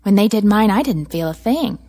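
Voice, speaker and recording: Actress as conformist young woman, lively swinging pitch, husky edge, insistent, impatient, radio drama. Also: a little fast, close to an everyday voice. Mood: breezy, coaxing. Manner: insistent, dismissive. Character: breezy conformist young woman, pushy, impatient best friend.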